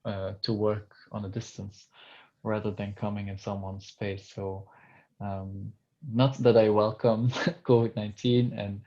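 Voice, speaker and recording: A man's voice: on the low side, flat delivery, measured pace, mellow. Male coach, native Dutch speaker, hesitant and searching, muffled recording. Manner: hesitant, many fillers and restarts. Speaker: touch and intimacy coach. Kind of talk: video call interview.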